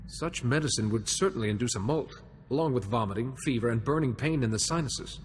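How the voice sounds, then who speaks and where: Game role, male actor: composed diplomatic Jedi, formal measured delivery, middle register, reverberant. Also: mid-range voice, animated, a little hiss or hum, close to an everyday voice. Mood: calm, advising.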